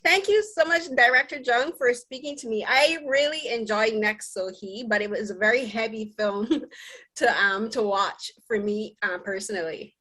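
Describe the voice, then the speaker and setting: A woman's voice: on the high side, moderate pace, pushed and bright. A young woman from Barbados, hosting a film interview in an earnest, thoughtful way, often restarting her sentences. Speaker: podcast host and interviewer. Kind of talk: casual conversational film interview.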